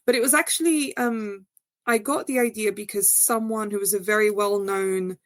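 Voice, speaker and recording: A woman's voice: moderate pace, dry recording. Chatty woman guest, dry close sound. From Portugal. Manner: chatty, hesitant, lots of fillers and restarts, laughs often; rises high and animated when answering. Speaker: guest novelist. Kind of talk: casual interview chat.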